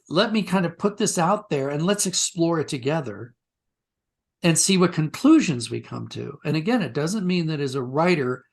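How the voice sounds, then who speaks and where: Thoughtful, higher-voiced man in interview. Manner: thoughtful, discursive, often rephrasing mid-sentence. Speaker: writer being interviewed. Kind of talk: conversational interview, reflective storytelling.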